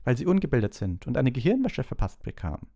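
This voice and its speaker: A man's voice: medium pitch, sing-song, fast, mellow, husky, pitched above the performer's own voice. A man voicing a bossy, indignant teenage schoolgirl in a brusque, lecturing audiobook performance.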